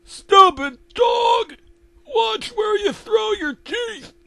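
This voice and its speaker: Shrieking, cranky old cartoon farmer. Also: male voice, very high-pitched, lively intonation, extremely slow, gravelly, no room sound, voice pushed up, exaggerated voice. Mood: furious. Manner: shouting, whiny outrage. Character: cranky, abusive old farmer husband, cartoon, bellowing and blaming.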